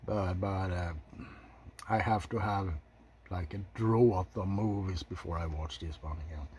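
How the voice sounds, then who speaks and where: A man giving unscripted ASMR film commentary in a soft, low-key, halting way, blunt and opinionated with casual swearing. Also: steady tone, a little hiss or hum. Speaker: video host reviewing films.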